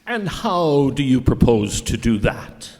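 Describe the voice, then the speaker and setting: Man playing a melodramatic, slow, swooping supervillain. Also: medium pitch, very animated, a little mellow. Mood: scornful. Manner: melodramatic, drawn-out menace. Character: gloating male supervillain of a silly comic skit. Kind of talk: amateur comic radio skit. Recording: reverberant hall, live recording.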